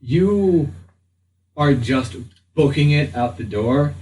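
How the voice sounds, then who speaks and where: A man improvising narration as the game master of a tabletop game, often pausing mid-sentence. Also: a little high, expressive, darkish tone. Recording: noisy room, distant mic.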